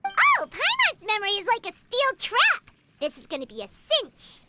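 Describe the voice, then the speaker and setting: A woman voicing a tiny, childlike, excitable floating fairy companion with a perky, cheeky manner in a video game. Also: very high voice, moderate pace, loud music behind the voice, heavily acted. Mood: confident. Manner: perky, exclaiming, refers to self in third person. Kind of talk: video game cutscene acting.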